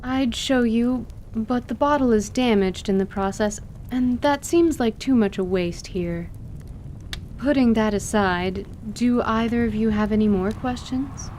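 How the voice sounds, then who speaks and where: A woman's voice: on the high side, a little slow, darkish tone, a little hiss or hum, close to an everyday voice. A woman playing a courteous, learned half-elf in an audio drama, speaking formally and articulately. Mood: composed, instructive. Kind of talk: audio drama acting of a tabletop campaign.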